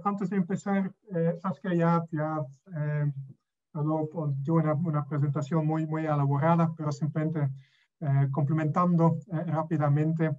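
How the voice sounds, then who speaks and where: A man's voice: fairly high pitch, mellow. Hesitant non-native lecturing man. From Belgium. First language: not Spanish. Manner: hesitant, many fillers and restarts. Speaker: professor. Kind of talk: online lecture. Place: room at home. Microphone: webcam on a video call. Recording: dull lo-fi video call audio.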